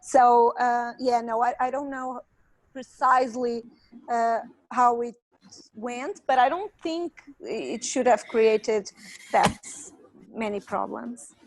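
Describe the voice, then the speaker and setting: A woman's voice: on the high side, takes it slowly, rough recording. A woman answering a question on a webinar over a video call, unsure and searching for her words. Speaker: panelist.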